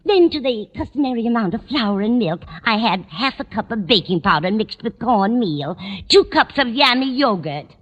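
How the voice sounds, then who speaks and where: A woman's voice: a little high, animated, moderate pace, dull audio. An actress playing a cheerful, dotty landlady with an earnest, fussy manner in a 1940s radio comedy. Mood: proudly chatty. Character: cheerful, dotty landlady, proud of odd cooking.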